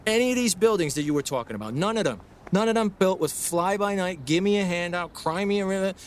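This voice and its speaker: A man's voice: high voice, lively intonation, quick pace, a little hiss or hum. An actor playing a proud, opinionated 1930s craftsman in a period audio drama, talking in a blustery, emphatic, long-winded way. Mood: scornful. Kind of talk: period radio drama acting.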